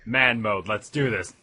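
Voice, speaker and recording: A man's voice: mid-range voice, huge pitch swings, takes it slowly, sharp tone, husky, no room sound. A man giving a dramatic scripted reading, with a theatrical, profane delivery. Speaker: fan fiction reader.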